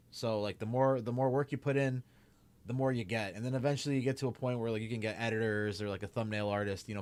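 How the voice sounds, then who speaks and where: A young man with a Chicago accent chatting casually to his stream while gaming, rambling with lots of "like" and "man". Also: mid-range voice. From Chicago area. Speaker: streamer and YouTuber.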